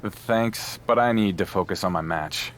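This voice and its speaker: A man's voice: deep voice, a little slow, a bit bright, slight background noise. A man playing a wry, earnest underdog wrestler in a video game, talking in a casual, understated, dryly sarcastic way. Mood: dry, impatient. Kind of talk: scripted video game cutscene acting.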